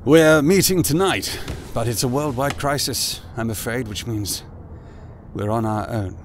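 An actor playing a harried, despairing prime minister in an audio drama, weary and muttering. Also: male voice, mid-range voice, measured pace, slight background noise, lightly acted.

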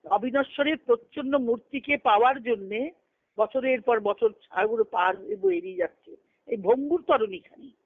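A woman giving an earnest, emphatic devotional discourse over a conference phone line, reciting scripture and verse and pausing between short phrases. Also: high-pitched, expressive, gravelly. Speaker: spiritual discourse speaker. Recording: tinny band-limited conference phone call.

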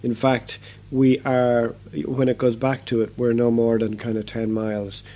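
Mellow-voiced musician, rambling. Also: male voice, medium pitch, little pitch movement, unhurried. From Ireland. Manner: rambling, many fillers and restarts. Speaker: guest musician. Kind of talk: casual interview chat.

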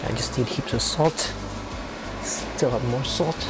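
A man's voice: medium pitch, unhurried, over loud music, poor audio. A young man with a Filipino accent chatting casually to the camera while he cooks in his home kitchen, making joking asides. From Philippines. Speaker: vlogger. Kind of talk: casual chat while cooking.

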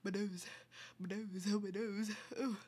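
A woman's voice: mid-range voice, flat delivery, measured pace, heavy background noise. A congested, nasal-sounding woman chatting casually and playfully with viewers as a livestreamer, giggly and affectionate. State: congested from illness. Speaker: streamer.

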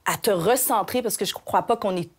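A woman's voice: mid-range voice, fairly quick, breathy edge. A woman with a Québécois accent hosting an interview, asking conversational, admiring questions on a lapel mic in a small lounge studio. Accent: Québécois French. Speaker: interview host.

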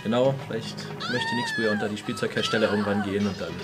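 A man's voice: fairly low pitch, wildly swooping pitch, fast talker. A man giving casual, chatty and joking fan commentary, with a cartoon soundtrack behind him. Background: cartoon soundtrack and music.

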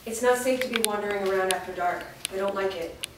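A woman's voice: medium pitch, fast talker, natural voice. Wary, bitter village woman played by an actress for a stage drama, distant in a noisy room. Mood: grim, wary. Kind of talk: staged play reading. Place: large bare indoor room, staged reading. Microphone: distant camera. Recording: echoey room from far off, noisy.

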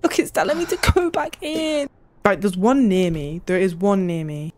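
A woman's voice: mid-range voice, animated, moderate pace, a little mellow. A young woman giving casual, exasperated gameplay commentary, swearing and reacting aloud. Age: young adult. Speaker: game streamer. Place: small home room.

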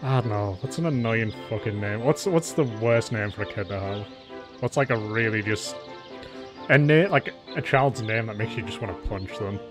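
A man's voice: fairly low pitch, normal speed. A man doing casual, sweary gaming commentary, exasperated and sarcastic. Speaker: let's play streamer. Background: game music.

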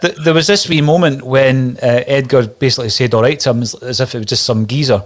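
A man's voice: medium pitch, steady tone, fast talker. A man hosting a music interview show over a video call, asking questions and telling anecdotes. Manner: friendly, storytelling questions. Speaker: show host. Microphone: desk mic on video call.

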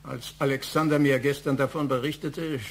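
A man's voice: medium pitch, steady tone, moderate pace, a little hiss or hum, lightly acted. An actor in a radio crime drama playing a proud, stern elderly father-in-law who speaks formally and emphatically. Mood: dismissive. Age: older.

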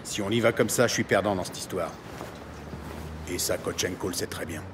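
An actor playing a hard-bargaining, territorial New York cop in a French audio drama, blunt and wary. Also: male voice, low voice, a little fast, noisy background, lightly acted. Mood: wary, firm. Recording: loud music and street ambience behind.

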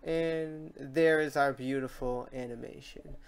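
A tutorial host walking through software steps in an informal way, thinking aloud while demonstrating. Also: mid-range voice, steady tone, unhurried, clean tone. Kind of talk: casual screen-recorded software tutorial.

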